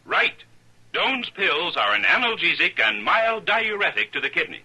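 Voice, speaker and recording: Emphatic old-time radio ad announcer. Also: male voice, sits high, sing-song, normal speed, sharp tone, slightly rough, low-quality recording. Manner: emphatic, persuasive. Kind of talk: old radio commercial read.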